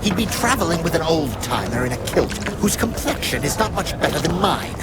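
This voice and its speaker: High-voiced, courteous undead traveler. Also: male voice, sing-song, fairly quick. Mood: mock humble. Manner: polite, wordy, hesitant when making excuses. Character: courteous undead traveler, dry and evasive, quietly menacing. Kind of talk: audio drama acting. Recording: loud noise and crowd behind.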